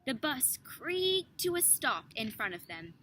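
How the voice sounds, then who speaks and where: A young woman narrating a children's picture book with measured, careful phrasing. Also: high-pitched, big pitch swings, pushed and bright. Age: young adult. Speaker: student storybook reader. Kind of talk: scripted children's story narration. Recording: noticeable background noise.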